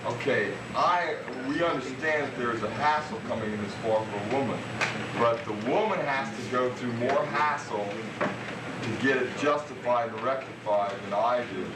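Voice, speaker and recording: A man at a heated meeting, halting and repeating himself to hold the floor. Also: mid-range voice. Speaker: co-op member at a meeting. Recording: distant in echoey room, loud tape noise.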